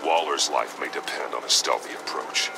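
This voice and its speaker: A man playing a grim, stern masked vigilante in a video game, terse and commanding with a gravelly voice. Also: a bass voice, moderate pace, noisy background. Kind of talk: video game character acting.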